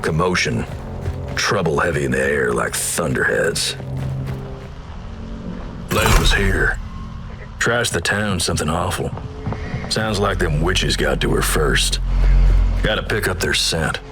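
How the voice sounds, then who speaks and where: An actor voicing a grim, folksy Wild West narrator in a video game, with an American Western drawl and terse, ominous phrasing. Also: male voice, low-pitched, lively intonation, a little slow, long pauses. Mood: terse, wary. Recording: loud music behind the voice. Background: loud game music.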